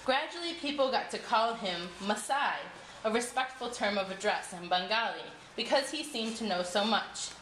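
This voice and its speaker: A woman's voice: fairly high pitch, lively intonation, normal speed, a bit bright. A woman narrating a folktale from a script, reading it aloud as a storyteller. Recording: echoey room with clear background noise.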